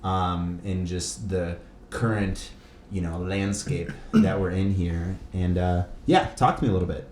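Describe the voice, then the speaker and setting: A man's voice: low-pitched, fairly even intonation, measured pace, a little mellow. A man hosting a casual podcast chat in a laid-back, slangy way. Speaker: podcast host. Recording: echoey room, faint background noise.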